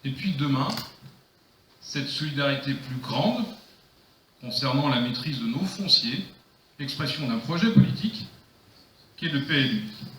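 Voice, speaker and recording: Male mayor, sharp and emphatic political rebuttal, declamatory, roomy sound with faint noise. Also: mid-range voice, animated, normal speed, pauses often, distant mic. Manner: emphatic, declamatory, rhetorical repetition. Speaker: mayor chairing the council. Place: council meeting room. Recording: echoey room, faint noise, clicks.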